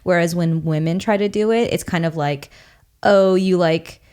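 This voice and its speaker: A woman's voice: lowish voice, normal speed, darkish tone, smooth. A young woman co-hosting a podcast, reflecting in casual chat on ideas from her reading. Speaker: podcast co-host.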